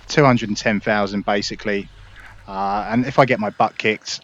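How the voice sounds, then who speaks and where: A man with a fairly low voice talks viewers through a game in a relaxed, upbeat tutorial manner. His delivery is chatty and explanatory. The recording sounds slightly dull and lo-fi. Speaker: gaming video host. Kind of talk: casual gameplay tutorial.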